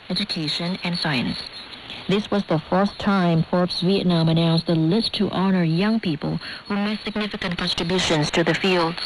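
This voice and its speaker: A woman reading the news in an even, careful way, on a radio broadcast. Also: on the low side. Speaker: newsreader. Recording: noisy shortwave radio broadcast. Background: shortwave static and hiss.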